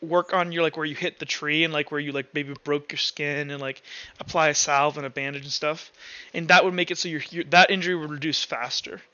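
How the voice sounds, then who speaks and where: A man casually improvising as game master, rambling haltingly with lots of filler words. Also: on the high side, fairly even intonation, bright, forceful tone, no room sound, lo-fi sound. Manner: halting, rambling, heavy use of "like".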